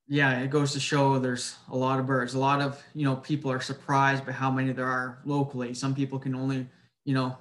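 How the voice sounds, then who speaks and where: A man giving an informal slide presentation over a video call, in a somewhat halting, hesitant way. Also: mid-range voice, fairly bright tone. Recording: roomy echo on webinar audio.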